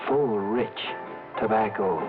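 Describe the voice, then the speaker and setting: A man's voice: on the low side, slow. Tinny vintage radio ad man over music. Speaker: commercial announcer. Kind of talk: 1950s radio cigarette advertising. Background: loud music.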